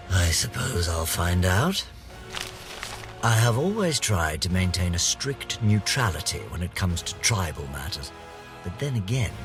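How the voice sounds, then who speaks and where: Pompous, theatrical British scientist, very animated. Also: male voice, sits low. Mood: brisk, curious. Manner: pompous, florid, theatrical, precisely worded. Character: pompous, eccentric gentleman scientist, vain and eager. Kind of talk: dramatic narrated journal entries for a video game.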